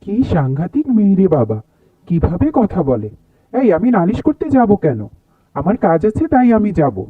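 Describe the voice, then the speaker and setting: A man's voice: high voice, sing-song, moderate pace, husky, no room sound, phone-quality sound. A man voicing male characters in a dubbed cartoon, muttering exclaiming asides to himself.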